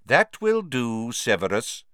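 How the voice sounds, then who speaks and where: Guy doing a unruffled wise headmaster for an audiobook, quiet, a bit amused, dry close recording. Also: medium pitch, big pitch swings, a little slow, dry recording, pitched above the performer's own voice. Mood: calm authority.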